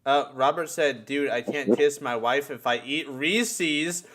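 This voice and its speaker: A man's voice: mid-range voice, measured pace, fairly bright tone. A man hosting a live stream with casual, profane group banter and crude joking.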